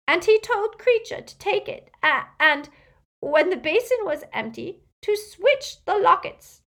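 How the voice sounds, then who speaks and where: A woman voicing a frightened, servile old male house-elf in an audiobook, tearful and halting as he speaks of himself in the third person. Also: extremely high pitch, sing-song, creaky, fairly bright tone, voice pushed up, full character voice. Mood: sobbing, anguished. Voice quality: tearful, moaning, breaking voice.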